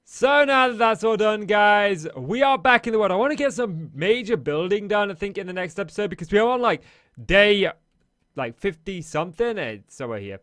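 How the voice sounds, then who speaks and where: Guy with a high voice doing chatty game commentary, bit hoarse, dry close sound. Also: animated, moderate pace, fairly bright tone, dry recording. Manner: chatty, narrates each action as he plays. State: slightly hoarse, losing his voice. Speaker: Minecraft let's play host. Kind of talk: casual gameplay commentary.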